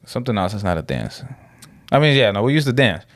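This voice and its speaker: A young man from the Dominican Republic co-hosting a podcast, talking in a relaxed, rambling, slangy way with lots of fillers. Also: on the low side, quick pace, no room sound. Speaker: podcast co-host. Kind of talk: casual podcast chat.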